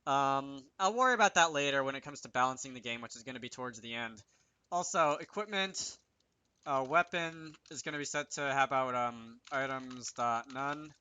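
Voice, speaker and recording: A man with a very bright, crisp voice talks through a programming tutorial off the cuff. He thinks aloud haltingly, pausing to work things out, and the sound is close and dry. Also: mid-range voice, dry recording, highs cut off. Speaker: game developer presenting a tutorial series. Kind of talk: unscripted screen-recorded programming tutorial.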